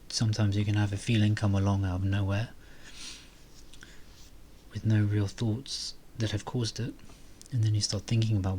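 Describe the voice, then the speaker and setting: A man guides a meditation in a fairly low, very even voice. He speaks slowly and softly, pausing often as he gives calm instructions. There is some noise in the recording. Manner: calm, hesitant, unhurried phrasing with long pauses.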